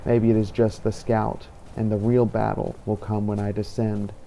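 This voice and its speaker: A man voicing a brooding, defiant fantasy hero, narrating his own game adventure in a deliberate, dramatic way. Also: on the low side, little pitch movement, gravelly, warm tone. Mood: grim, restless. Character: brooding male dragon-slaying hero, weary and defiant. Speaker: role-playing gamer narrating as his character. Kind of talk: first-person in-character scripted narration. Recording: faint background noise.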